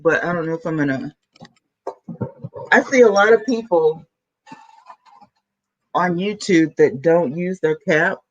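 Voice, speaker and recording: A woman YouTuber, chatting excitedly to her viewers from home while she sets up a new microphone. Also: fairly low pitch, lo-fi sound. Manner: chatty, excitable, talks to chat. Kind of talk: casual vlog chat to viewers. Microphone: camera mic, then a new plug-in USB mic.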